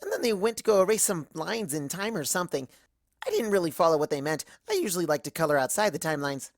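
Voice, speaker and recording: A woman acts out a hyper, cheerful cartoon pony telling a rambling, gossipy story. The delivery is exclamatory and swings widely in pitch. The sound is dry, with hardly any room. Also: animated, fast, no room sound. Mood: chatty, rambling. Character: hyper, scatterbrained, cheerful young female cartoon pony narrator. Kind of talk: first-person comedic fan fiction narration.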